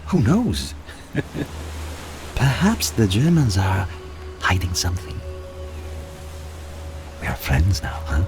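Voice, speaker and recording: Slow, swooping Italian mobster go-between. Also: male voice, deep voice, huge pitch swings, heavily acted. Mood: sly, insinuating. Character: sly Sicilian Mafia go-between, oily and falsely friendly. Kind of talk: cinematic game acting. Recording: music behind the voice.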